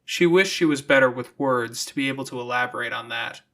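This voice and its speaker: Audiobook narrator reading fiction, crisp bright tone, medium pitch. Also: a little fast. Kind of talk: scripted story narration.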